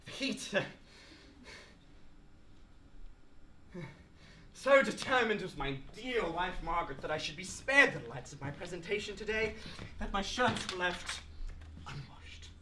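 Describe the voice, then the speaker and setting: A man's voice: high-pitched, expressive, moderate pace. A teenage male student actor with an Australian accent playing a pompous, aggrieved academic lecturer in a theatrical stage monologue, with florid, oratorical delivery. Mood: flustered. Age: teens. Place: indoor school drama showcase, filmed performance. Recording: roomy echoing stage, faint noise, clicks.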